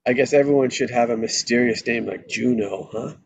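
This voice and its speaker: Laid-back, sardonic married guy, read by a male actor, creaky and husky, wry drama delivery. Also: medium pitch, fairly quick. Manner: casual, wry. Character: laid-back, sardonic married man, expectant adoptive father. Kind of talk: live script table read.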